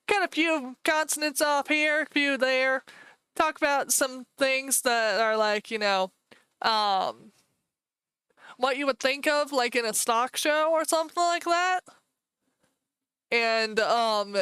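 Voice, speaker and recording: A woman's voice: high voice, bright, forceful tone, clean tone, no room sound. A woman with a Michigan accent streaming live from home, chatting casually and rambling with lots of "like" and "you know".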